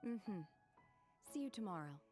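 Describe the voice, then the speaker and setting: A woman playing a calm, reserved young woman in a video game, polite, composed and understated. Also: mid-range voice, measured pace, soft background music.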